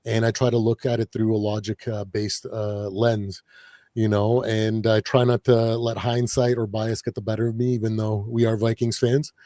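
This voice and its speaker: A man whose voice is raspy and a bit worn talks loosely as a guest on a live podcast. He rambles and restarts his sentences often, stopping on filler words. The sound is dry and close, with no room around it. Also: fairly low pitch, flat delivery, moderate pace, dark tone, no echo. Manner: rambling, lots of fillers and self-corrections. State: raspy voice from partying. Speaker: guest, fan channel creator. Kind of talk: casual podcast chat.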